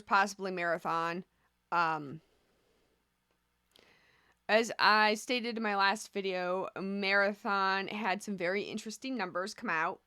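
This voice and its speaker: A woman's voice: mid-range voice, sharp tone, smooth, no room sound, clean studio sound. A woman hosting a casual video commentary on stocks, talking conversationally and drifting into asides. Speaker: host of a stock commentary channel.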